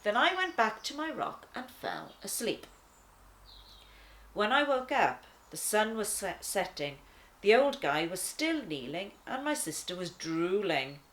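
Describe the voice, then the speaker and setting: A woman reading a children's story as a laid-back talking lion, conversational and wry, with dramatic pauses. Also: mid-range voice, big pitch swings, a bit bright, slight background noise. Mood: chatty. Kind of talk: first-person children's storybook narration.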